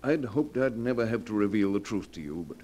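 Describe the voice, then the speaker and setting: Man acting old time radio judge, kinda low mellow voice, formal and grave, careful halting delivery. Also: expressive, natural voice. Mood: grave, apologetic. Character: grave, courteous male small-town judge. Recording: slightly noisy 1940s radio recording.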